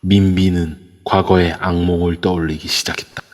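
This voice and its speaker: A man narrating an audio drama in a steady storytelling way. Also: low-pitched, moderate pace. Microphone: phone in an online voice room. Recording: long reverb on a voice chat app.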